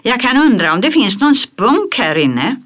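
A brash, cheerful little girl from a storybook, higher and louder than the reader's own voice, as a woman puts her on. She talks eagerly and with total self-assurance, piling one wild claim on the next. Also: sing-song. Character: bold cheerful girl, red-haired, full of tall tales.

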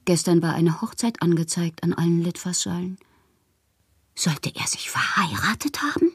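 An actress playing a passionate, capricious bohemian woman in a literary radio drama, theatrical and intimate, with a breathy voice. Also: fairly low pitch, normal speed, dry recording, studio quality, soft background music. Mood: wistful doubt. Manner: theatrical, intimate, often dropping to breathy murmurs. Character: dreamy, passionate, capricious bohemian woman writing love-struck letters.

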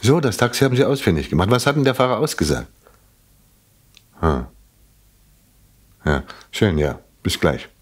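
Radio crime drama: shrewd police inspector, deep voice, polite, measured, drily probing. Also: male voice, very animated, slightly rough. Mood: businesslike.

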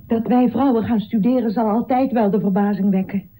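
Earnest, husky woman doctor played by an actress. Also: on the high side, warm tone, natural voice, poor audio. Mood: earnest, determined. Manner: deliberate, formal, earnest. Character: earnest, determined woman doctor, a pioneering 19th-century feminist. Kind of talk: radio play acting.

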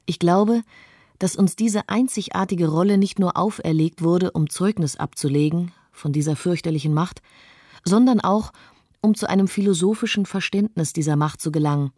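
A woman's voice: fairly low pitch, normal speed, warm tone, no room sound, clean studio sound, lightly acted. A woman voicing a philosophical, reflective witness in a scripted documentary radio play. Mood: contemplative.

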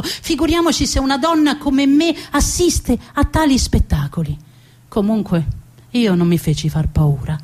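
Woman acting a proud, husky-voiced noblewoman. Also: medium pitch, darkish tone. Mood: hushed awe. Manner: dramatic, deliberate, with emphatic repetitions. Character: proud, defiant executed noblewoman recounting her own beheading. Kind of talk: live theatrical storytelling monologue.

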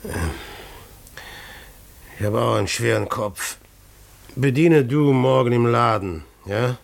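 An actor playing a hearty but scheming male village innkeeper in a German radio play, with deliberate theatrical delivery. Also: medium pitch, gravelly. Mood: uneasy, dismissive.

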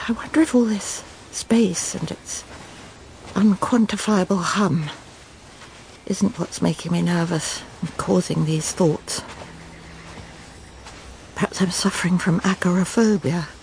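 A woman's voice: medium pitch, darkish tone, slight background noise, lightly acted, rough recording. An actress playing a proper, self-satisfied middle-aged wife and mother in a radio drama, prim and clipped, anxiously reassuring herself. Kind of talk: radio drama acting, dialogue and inner monologue.

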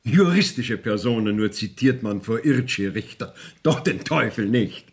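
Slow, stately, stern court official. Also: male voice, lowish voice, animated. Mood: grave, questioning. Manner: stately, formal, declamatory. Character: stern, formal visiting court official, dignified and exacting. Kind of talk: 1970s radio drama acting.

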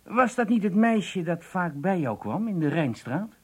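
An actor in a radio play playing a jovial, chatty travelling businessman with a husky voice and a conversational manner. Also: high-pitched, very animated, measured pace, darkish tone, full character voice. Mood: casual, admiring. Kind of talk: radio drama dialogue.

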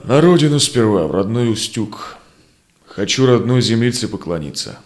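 A man acting a seasoned, honorable Cossack explorer in a verse audio drama, with solemn, declamatory, measured delivery. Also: on the low side, big pitch swings. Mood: wistful. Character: seasoned Cossack ataman explorer, honorable, sorrowful, longing for home.

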